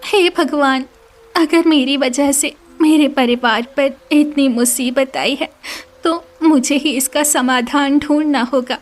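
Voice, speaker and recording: A woman voicing a devoted, kind, distressed village daughter in an animated story, earnest and melodramatic. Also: high voice, slow pace. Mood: determined, anguished. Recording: music behind the voice.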